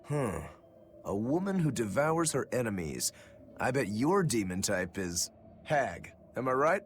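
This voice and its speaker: A man's voice: mid-range voice, sing-song, measured pace, slight background noise. An actor playing an easygoing, teasing male demon swordsman who likes his drink, in a video game. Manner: wry, teasing, laid-back. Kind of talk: English video game voice acting.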